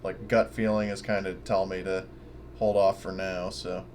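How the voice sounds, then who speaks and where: A man's voice: sits low, little pitch movement, moderate pace, a little mellow, slight background noise. A man chatting casually as a player in a tabletop roleplaying game.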